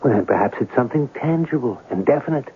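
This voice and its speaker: An actor playing a probing, eloquent newspaper reporter with theatrical, deliberate phrasing in an old-time radio drama. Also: male voice, medium pitch, lively intonation, slightly rough. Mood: accusing, intense. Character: probing, eloquent newspaper reporter in turn-of-century New York. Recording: narrowband old radio broadcast, music behind.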